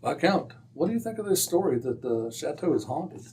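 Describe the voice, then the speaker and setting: A man playing a hearty, genteel gentleman doctor, a detective's companion, in an old-time radio drama. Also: fairly high pitch, very animated, a little fast, far from the mic. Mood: polite, curious.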